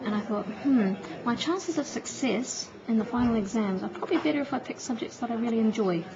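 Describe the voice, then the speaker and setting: A woman's voice: fairly high pitch, a little fast. Chatty New woman on a muffled phone line. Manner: chatty, laughs easily, reflective. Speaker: interviewee, teacher. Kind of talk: casual phone interview. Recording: muffled phone-call recording with crowd noise behind.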